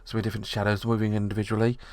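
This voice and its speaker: A man's voice: lowish voice, monotone, rapid-fire, darkish tone, no echo. A man reading the narration of a visual novel aloud, steadily, with small slips.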